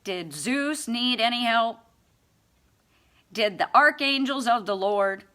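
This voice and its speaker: A woman with a fairly high, bright and forceful voice reads a book aloud at an unhurried pace. Her delivery is theatrical and emphatic, laced with mock-earnest sarcasm. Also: expressive. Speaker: amateur audiobook reader. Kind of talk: expressive scripted book reading.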